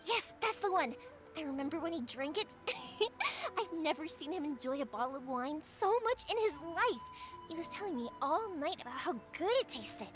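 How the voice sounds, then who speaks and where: A woman voicing a cheerful, caring young festival fireworks maker in a video game, speaking in a gentle, earnest and reassuring way. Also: high-pitched, expressive, moderate pace. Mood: fond. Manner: thoughtful, reassuring storytelling. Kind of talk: video game character dialogue. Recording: game audio with background music.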